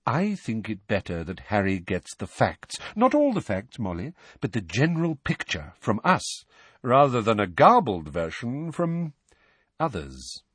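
Audiobook mediator read by a male narrator: mild man, reasoning and firm, husky, mid-pitched. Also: wildly swooping pitch, a bit bright, no echo, full character voice. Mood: quiet, measured. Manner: measured, reasoning.

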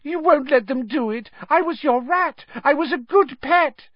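A man voicing a cringing, cowardly male traitor in a high, whining, tearful, gasping voice, pleading desperately in an audiobook story. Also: a little slow, dry recording, highs cut off. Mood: fawning pleading. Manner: grovelling, repetitive, desperate pleading.

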